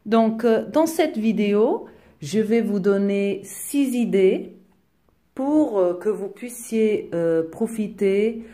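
A woman's voice: medium pitch, slow, dark tone. A woman whose first language is Portuguese, talking through a home decor tutorial in a friendly way, hesitating often with fillers. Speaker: DIY decor vlogger. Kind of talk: tutorial voice-over.

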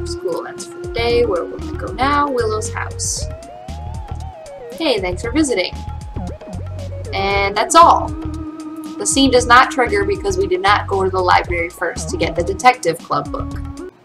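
A young woman hosts a casual game-making tutorial. She talks through her steps in an informal way from a room at home. Music plays clearly behind her voice. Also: medium pitch, animated, moderate pace. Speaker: tutorial host, game developer. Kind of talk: casual screen-recorded software tutorial with read-out dialogue. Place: small home room, indoors. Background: background music.

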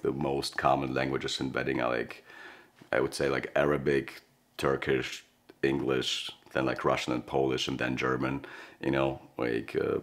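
A male comedian giving a wry, casual documentary interview. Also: extremely low pitch. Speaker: stand-up comedian.

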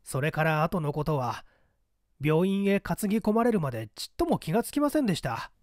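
腰が低く慌て気味のトラック運転手が丁寧に弁明する様子を演じる男性。. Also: male voice, fairly high pitch, big pitch swings, darkish tone, no echo, clean studio sound. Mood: apologetic, earnest. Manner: polite, apologetic, hurried explaining. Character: humble, flustered male truck driver giving testimony.

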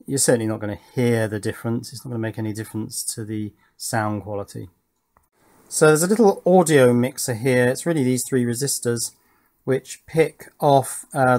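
Male, 50s, hobbyist electronics presenter. Mid-pitched, casual and matter-of-fact, thinking out loud while working. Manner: matter-of-fact, musing aloud. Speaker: electronics hobbyist and video host. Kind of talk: casual bench commentary while assembling a circuit board. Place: indoors at a home workbench.

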